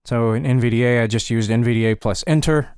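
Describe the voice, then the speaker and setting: A man narrating a software tutorial step by step in a casual, informal way, with dry wry asides. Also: mid-range voice, fast talker, clean tone, no room sound. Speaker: tutorial presenter.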